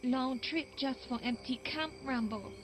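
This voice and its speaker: Wary jungle guide for action game: high pitch, unhurried, terse broken English. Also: female voice, background noise. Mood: wary, insistent. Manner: clipped sentences, dropped words.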